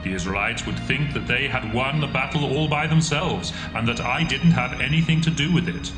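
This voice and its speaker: An actor playing God in a dramatised Bible reading, speaking in a solemn, commanding way. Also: male voice, mid-range voice, normal speed, lightly acted. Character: God, commanding divine male voice. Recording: echoey with music behind.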